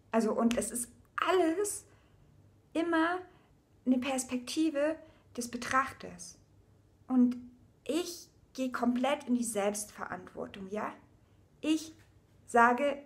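A young woman from eastern Germany telling her personal story to camera at home, casual and searching for words, with many fillers, and quieter on the painful parts. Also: a little high. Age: young adult. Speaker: vlogger. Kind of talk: personal video diary. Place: indoors, small room with a painting behind her.

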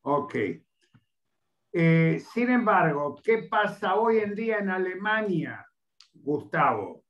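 An older man speaks slowly and briefly from a room at home, using a webcam on a video call. His voice sits slightly high, and the call audio sounds dull. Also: sing-song. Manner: brief, measured remarks. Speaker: interviewer.

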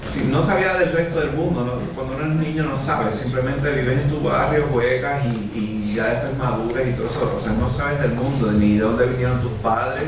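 A man's voice: mid-range voice, a little fast, hardly any pauses. Puerto Rican man in an echoey hall. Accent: Puerto Rican Spanish. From Puerto Rico. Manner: rambling, many fillers like "o sea". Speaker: visual artist and musician. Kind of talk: casual talk at a book presentation. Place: small theater with an audience. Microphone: handheld mic. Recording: echoey hall from far off, noisy.

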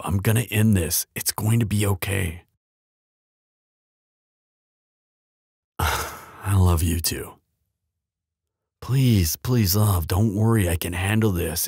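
A man acts out a protective bodyguard in an ASMR boyfriend roleplay. His voice is low and husky, with slow, firm, intimate delivery. He sounds confident and reassuring. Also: darkish tone, no room sound, studio quality, full character voice. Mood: tender, reassuring. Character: protective, confident male bodyguard and tender lover.

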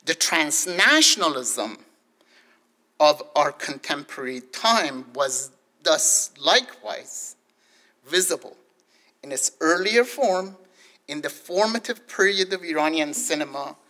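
An older man reads a scholarly talk at a podium mic in a university lecture hall. His voice is bright and fairly high for a man. He moves through the text slowly, pausing between phrases. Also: expressive. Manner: slow, deliberate reading with measured pauses. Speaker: academic speaker. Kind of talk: reading a prepared scholarly talk. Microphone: podium microphone.

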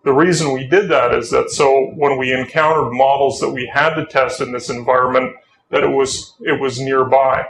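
A man in his thirties giving a measured, technical walkthrough of a speaker testing area in a factory. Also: medium pitch, steady tone, gravelly, a bit bright, poor audio. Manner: measured, technical, repeats words when restarting. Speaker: company spokesman giving a factory tour. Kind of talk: explanatory walkthrough. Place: indoor factory test area and equipment lab.

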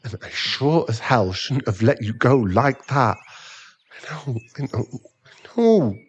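Man acting a slow, remorseful sugar-daddy boyfriend. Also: medium pitch, lively intonation, husky, muffled recording. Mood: pleading, regretful. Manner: hesitant, stammering, earnest. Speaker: audio roleplay voice actor. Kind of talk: ASMR boyfriend roleplay acting.

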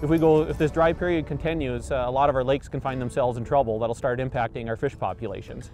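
A man's voice: medium pitch, steady tone, quick pace, a little mellow, soft background music. A man in his forties, a fisheries biologist, answering interview questions outdoors by a river in an earnest, explanatory way, with frequent fillers and restarts.

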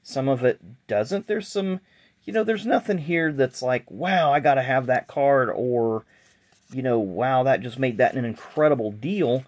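A man's voice: medium pitch, a little slow, a little mellow. A middle-aged man chatting casually as he sorts through a box of cards, rambling with self-deprecating asides, recorded on the camera mic at a table indoors. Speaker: sports card collector vlogger. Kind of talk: casual unboxing chat. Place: indoor card room at a table. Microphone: camera mic, hands in frame.

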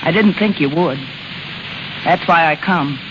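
An actress in a 1930s radio drama playing a weary, remorseful, broke carnival woman with halting, folksy speech. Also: low voice. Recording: muffled, crackly 1930s radio broadcast.

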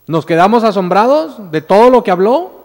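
High-voiced Mexican preacher in reverberant church. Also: male voice, very animated, moderate pace, a little mellow. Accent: Mexican Spanish. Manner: earnest storytelling, frequent repetitions and restarts, rising emphatic declarations. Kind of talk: sermon. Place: church sanctuary with stage, indoors. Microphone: standing mic at pulpit.

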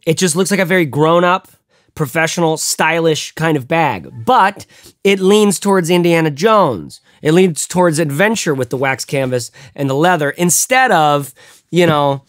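Casual male vlogger, 30s, slightly high, dry close sound; animated, rambling, swears freely. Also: no echo. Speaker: bag reviewer. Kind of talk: casual product review vlog. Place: home studio room, indoors.

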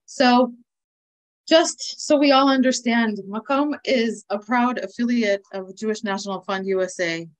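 A woman's voice: on the high side, rough recording. Earnest American woman on a video call. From United States. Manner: earnest, measured, with frequent "uh" pauses. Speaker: nonprofit speaker. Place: indoors with a virtual flag background. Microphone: video call webcam.

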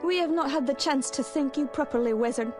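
An actress playing a dignified, stern shepherd leader in an adventure game, with formal, solemn, commanding speech. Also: female voice, high-pitched, brisk, music in the background, lightly acted. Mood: grateful, dignified. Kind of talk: 1990s adventure game voice acting.

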